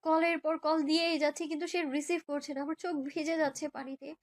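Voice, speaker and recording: A woman's voice: sits high, little pitch movement, fairly quick. A young woman narrates a romantic story in the first person, in an emotive, girlish way, as if living it. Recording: background music under voice.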